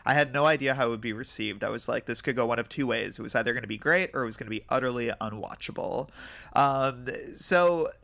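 A man's voice: medium pitch, quick pace, vocal fry, fairly bright tone. A man hosting a podcast, talking casually about film and interviews. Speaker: podcast host. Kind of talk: casual film discussion with quoted interview material.

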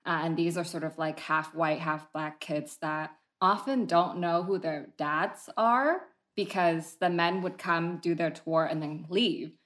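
A therapist who was born in Vietnam, calmly explaining history and family trauma as an interview guest. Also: male voice, on the high side, unhurried, few pauses. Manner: calm, explanatory.

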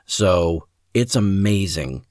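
A man's voice: low-pitched, expressive, slow pace, darkish tone, dry recording, clean studio sound. A man hosting a podcast, speaking enthusiastically and appreciatively to his listeners in an introduction. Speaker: podcast host. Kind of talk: scripted-sounding podcast introduction addressed to listeners.

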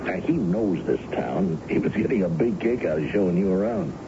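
A man's voice: low voice, big pitch swings, moderate pace, creaky, exaggerated voice. An actor playing a genial, indulgent father and company boss in his fifties, in a 1970s American radio drama. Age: 50s. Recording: dull, noisy 1970s radio broadcast.

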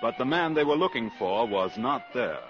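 An actor playing a sober narrator, measured and formal, telling a spy thriller in a 1950s radio drama. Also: male voice, medium pitch, lively intonation, close to an everyday voice. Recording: muffled 1950s radio broadcast, faint music behind.

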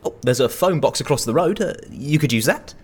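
An actor playing a chatty, over-eager night-shift petrol station attendant who rambles and pries in comedy audio drama. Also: male voice, medium pitch, lively intonation, brisk, slightly rough. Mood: gossipy. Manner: chirpy, rambling, punning sales patter.

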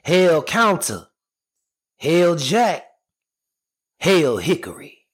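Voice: mid-range voice, big pitch swings, extremely slow, breathy edge, voice pushed up, full character voice. A storyteller voicing a frightened, determined young boy with hollering, sing-song calls, over music. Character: frightened, determined young brother calling his hounds. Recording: music behind the voice.

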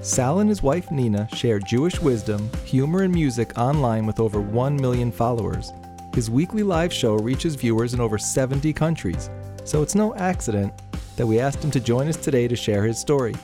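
A man's voice: mid-range voice, animated, normal speed, dark tone, soft background music. A man hosting an interview podcast, opening with a polished introduction and then asking conversational questions. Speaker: podcast host.

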